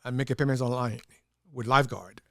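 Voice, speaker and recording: A man working as an insurance agent, giving casual, somewhat halting and agreeable answers as an interview guest. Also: mid-range voice, steady tone, dry recording, studio quality. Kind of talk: casual conversational anecdote.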